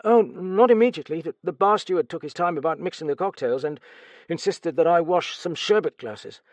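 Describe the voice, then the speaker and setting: Casting: a pale, jittery steward who stammers and pleads, voice pitched high and dry, as a male narrator puts him on. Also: lively intonation, a little fast, a little mellow, no echo. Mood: nervous. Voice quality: stammering, frightened. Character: nervous stammering male French servant.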